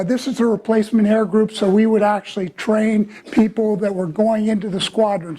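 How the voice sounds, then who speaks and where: An older man, a former Navy pilot, giving a club presentation in a hoarse voice, explaining with plain asides. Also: high voice, mellow.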